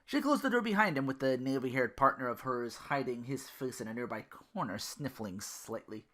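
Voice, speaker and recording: A podcast host reading fan fiction aloud in an animated, expressive way. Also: mid-range voice, moderate pace. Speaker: host and voice performer.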